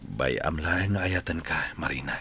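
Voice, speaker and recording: A devoted, lovestruck family friend in a Filipino radio drama, acted by a man. His voice is deep and Filipino-accented. Faint noise and ambience sit behind him. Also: moderate pace, pushed and bright, full character voice. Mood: tender, earnest.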